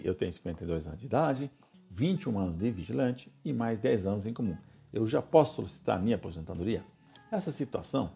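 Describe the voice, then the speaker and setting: A man's voice: lowish voice, warm tone, breathy edge. A man with a Brazilian Portuguese accent hosting a radio-style talk, explaining social security rights, repeating himself and often checking in with "né?" and "legal?".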